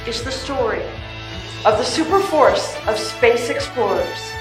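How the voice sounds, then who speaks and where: A woman's voice: a little high, animated, measured pace. A woman reading scripted narration for an action radio play. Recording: echoey room with music behind.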